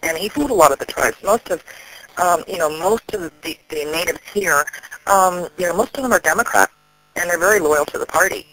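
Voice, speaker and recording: A man, an activist, explaining things in a serious phone conversation, often halting and restarting. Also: high-pitched, pushed and bright, rough recording.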